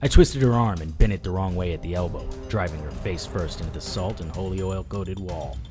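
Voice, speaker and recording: An actor playing a hard-boiled, wry supernatural investigator, narrating in first person in noir audio drama style. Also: male voice, deep voice, fairly quick, lightly acted. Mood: tense. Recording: music under the voice. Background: music.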